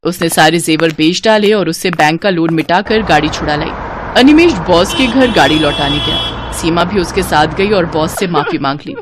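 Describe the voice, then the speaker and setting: A woman's voice: fairly low pitch, moderate pace, background noise. A woman storyteller narrating a scripted moral story.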